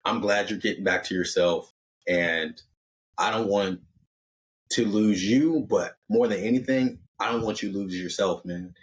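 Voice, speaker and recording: A man's voice: fairly low pitch, unhurried, lightly acted. Loyal best buddy, voiced by a male storyteller: young dude, earnest, slangy, encouraging. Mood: earnest, supportive.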